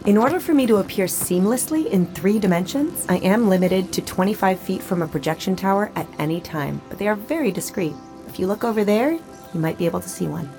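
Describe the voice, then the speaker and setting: An actress playing a friendly, upbeat AI hologram tour guide, speaking in a polished, personable way in an audio drama. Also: female voice, medium pitch, lively intonation, fairly quick, warm tone, soft background music, natural voice. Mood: upbeat, guiding. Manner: polished, personable presenter delivery. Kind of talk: scripted audio drama acting.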